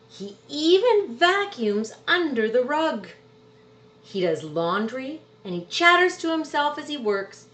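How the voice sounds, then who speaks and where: A woman reading a children's storybook aloud expressively, drawing out words for emphasis. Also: on the high side. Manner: animated, drawn-out words for emphasis. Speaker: storybook reader. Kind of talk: expressive children's picture book read-aloud.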